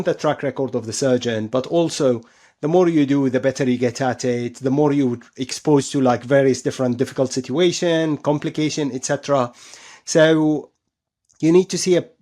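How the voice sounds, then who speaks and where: A man's voice: fairly high pitch, normal speed, dark tone. A man speaking as an expert surgeon guest, explaining things in an interview, often repeating and rephrasing himself for emphasis.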